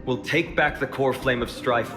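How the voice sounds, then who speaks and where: A man's voice: medium pitch, steady tone, moderate pace, lightly acted. Man playing an earnest young hero, reverberant. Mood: resolute. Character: earnest, easygoing young heroic male warrior. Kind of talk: video game character acting. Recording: long reverb, music behind the voice.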